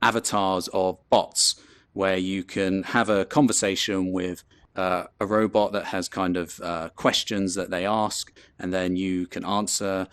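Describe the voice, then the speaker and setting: A man's voice: low-pitched, level tone, a little slow, a bit bright, clean tone, no room sound. A man from England with a London accent, hosting a casual presentation, chatty and loose with many fillers and restarts. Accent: London English. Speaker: host presenting a talk.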